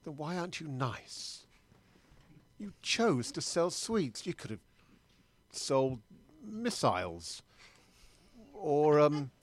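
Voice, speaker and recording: A man's voice: fairly high pitch, very animated, slow, long pauses. Man acting an anxious, dithering British customer. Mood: alarmed, rambling. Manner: rambling, hesitant, stammering, self-doubting. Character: anxious, dithering middle-aged male sweet-shop customer. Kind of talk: improvised comedy monologue and sketch.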